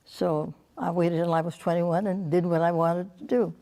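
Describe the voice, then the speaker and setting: An older woman, a nun, recounting in a measured, deliberate way how she followed her calling. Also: fairly low pitch, steady tone, mellow. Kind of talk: oral history interview.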